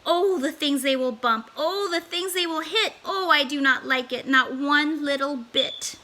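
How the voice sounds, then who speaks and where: Woman voicing an anxious, high-pitched scolding little fish. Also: unhurried, bright, forceful tone. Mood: indignant. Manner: urgent, exclaiming, repetitive. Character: anxious scolding pet fish, panicked and fussy. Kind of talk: children's storybook read-aloud.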